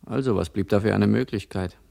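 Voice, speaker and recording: An actor playing a fussy, eager first-person narrator in an experimental radio play, telling his tale deliberately and theatrically. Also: fairly low pitch, creaky, warm tone. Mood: brooding. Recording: 1970s radio drama with music. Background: music.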